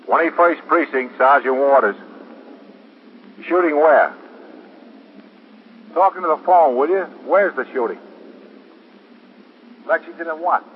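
An American actor playing a brisk, no-nonsense police desk sergeant in a 1950s radio drama. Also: male voice, on the high side, expressive. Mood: brisk, insistent. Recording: tinny, hissy 1950s radio recording.

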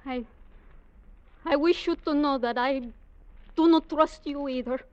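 An actress playing a fiery, distrustful young woman with a Mexican Spanish accent and halting English in an old radio adventure drama. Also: sits high, darkish tone, no echo. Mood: guarded, defiant. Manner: defiant, halting non-native English phrasing. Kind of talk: 1940s-50s adventure radio drama acting. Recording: muffled, noisy vintage radio recording.

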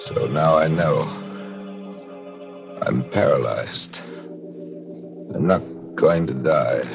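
An actor playing a guilty, paralyzed man in a brooding, halting 1940s radio mystery monologue. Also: a bass voice, vocal fry, full character voice. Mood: bleak resignation. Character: paralyzed guilty man narrating his doomed fate. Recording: dull old radio recording. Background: dramatic music.

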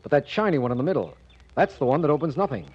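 Gruff lawman in old 40s radio drama, husky, animated pitch, earnest and a bit baffled. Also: rapid-fire. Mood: puzzled, insistent. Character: earnest, puzzled male investigating official. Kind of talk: 1940s radio drama acting. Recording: muffled 1940s radio recording.

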